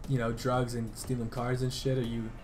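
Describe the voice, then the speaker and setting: A man's voice: mid-range voice, flat delivery, normal speed, darkish tone, a little hiss or hum. A man chatting casually on a call, rambling in loose slang with plenty of swearing. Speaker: gaming video creator making a prank call. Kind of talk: casual phone chat.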